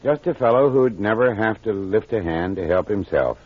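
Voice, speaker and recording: An actor playing a gruff, terse country doctor in an old-time radio mystery. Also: male voice, fairly low pitch, expressive, moderate pace, no room sound. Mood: blunt. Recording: dull, dry old radio recording.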